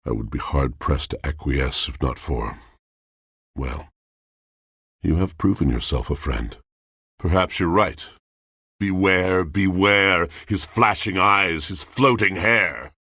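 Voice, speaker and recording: An actor voicing a brooding, lovelorn poetic machine in a video game, with theatrical, solemn delivery and a gravelly voice. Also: male voice, extremely low pitch, fairly bright tone, no room sound, clean studio sound, full character voice. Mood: earnest, pleading. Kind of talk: video game character acting.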